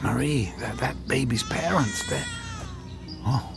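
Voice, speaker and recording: An actor in a radio drama playing an anxious, conscience-stricken husband, hesitant, earnest and pleading. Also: male voice, medium pitch, expressive, takes it slowly, gravelly, shouting, over loud music. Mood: anguished.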